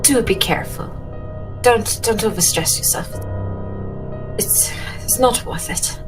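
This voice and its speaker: A woman playing a genteel, anxious, soft-hearted lady in a tabletop horror game, with a polite, hesitant, fretful way of talking. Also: on the high side, measured pace, over music, natural voice. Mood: anxious, tender.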